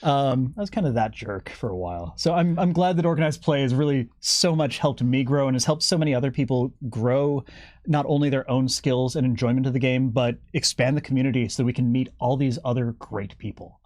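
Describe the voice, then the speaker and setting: A man's voice: medium pitch, a little fast, darkish tone, no echo. Man, mid-range voice, enthusiastic, explanatory, casual interview guest, dry sound. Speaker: guest, senior game developer.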